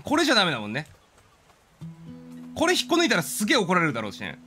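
A man's voice: high-pitched, huge pitch swings, rapid-fire, bright, forceful tone, faint music behind. A man doing casual game commentary, playfully chatting and thinking aloud as he streams.

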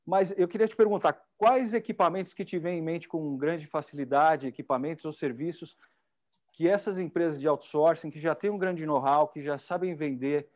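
Chatty Brazilian host, fairly high voice. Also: male voice, normal speed, darkish tone. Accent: Brazilian Portuguese. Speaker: event host. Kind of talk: casual hosting chat.

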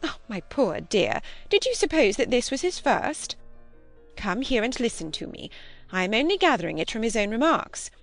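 A woman's voice: on the high side, very animated, moderate pace, soft background music. A woman voicing a prim, moralizing older spinster cousin, emphatic and plaintive, in an audiobook. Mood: condescending, prim. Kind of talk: audiobook character acting.

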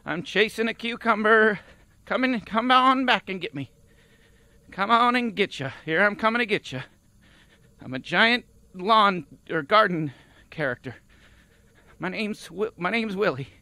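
A man voicing a simple, plodding auditioner, halting and repetitive, in improvised game comedy. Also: sits high, expressive, bright, forceful tone, no room sound, heavily acted. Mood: earnest, plodding.

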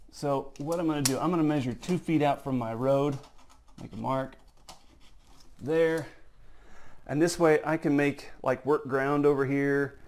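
A man's voice: medium pitch, a little mellow, slight background noise. A man giving a casual, folksy how-to demonstration in his workshop, joking at his own expense as he works. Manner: folksy, self-joking, talks while working. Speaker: model diorama builder. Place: workshop, indoors.

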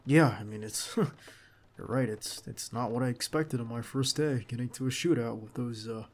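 Man acting a gravelly, protective bodyguard, reassuring. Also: mid-range voice, natural voice. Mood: uneasy, conversational. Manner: hushed, halting, reassuring, close to the listener. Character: protective young male bodyguard, calm and reassuring. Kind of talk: intimate ASMR roleplay acting.

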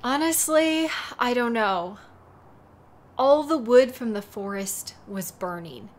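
A woman playing a devoted, worried young woman in an intimate audio roleplay, speaking tenderly and haltingly with a wistful manner. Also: a little high, animated. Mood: anxious, shaken.

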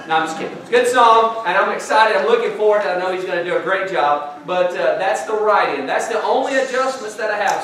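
A man's voice: high voice, a little hiss or hum. Man in an echoey church from afar. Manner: relaxed, folksy, with frequent uh fillers. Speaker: pastor hosting a church program. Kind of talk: church announcements and prayer. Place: large church sanctuary with a stage. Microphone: far camera, speaking from the stage. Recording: echoey sanctuary, picked up from far off.

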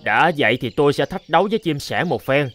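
A man's voice: fairly high pitch, expressive, fast, a bit bright, faint music behind. A man voicing a boastful, scornful, scheming crow in a children's cartoon, with a smug, theatrical delivery. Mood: challenging.

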